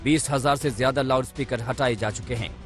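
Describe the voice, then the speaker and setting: A man reporting a news story in a steady, formal voice-over. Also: mid-range voice, a little fast. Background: faint music bed.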